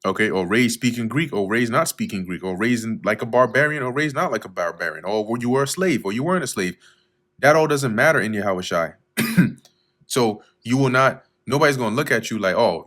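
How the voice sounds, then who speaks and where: A man teaching verse by verse through scripture, reading each verse slowly and then explaining it in a casual way with fillers, often clearing his throat. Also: on the low side, fairly bright tone. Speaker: Bible teacher.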